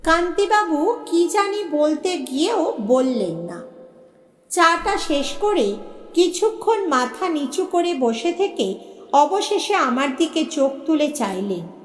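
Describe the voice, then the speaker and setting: A woman narrating a story in an expressive, measured, dramatic audiobook style. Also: high-pitched. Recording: echoey with long reverb.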